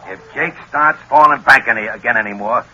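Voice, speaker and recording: An actor playing a ruthless, commanding gangster boss with tough slangy talk, in an old radio crime drama style. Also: male voice, medium pitch, fairly quick, bright, forceful tone, highs cut off. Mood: barking orders. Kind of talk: 1930s-1940s radio acting. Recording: old radio recording with faint noise.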